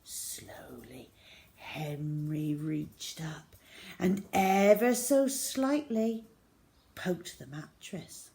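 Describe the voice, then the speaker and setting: A woman reading a story to children as host, dramatic, with a way of dropping into suspenseful hush. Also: low voice, lively intonation, drawn-out words. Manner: dramatic, with hushed suspenseful drops.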